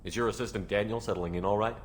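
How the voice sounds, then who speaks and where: A man playing a quick-talking low-voiced commander. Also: steady tone, slight background noise, lightly acted. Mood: composed. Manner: direct, controlled questioning. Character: composed male starship commander questioning his crew. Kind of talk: video game character acting.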